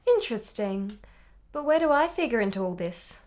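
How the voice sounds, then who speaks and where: A woman acting in a radio play as a genteel, curious married gentlewoman of the nineteenth century, polite and inquisitive. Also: on the high side, very animated, normal speed. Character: gracious, curious married gentlewoman in 1870s Italy.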